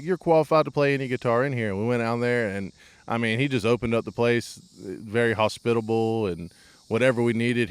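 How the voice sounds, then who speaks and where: A man's voice: fairly low pitch, clear, resonant tone. A man, a guitarist and songwriter in a band, telling stories about recording in an easygoing, plainspoken way. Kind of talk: casual chat.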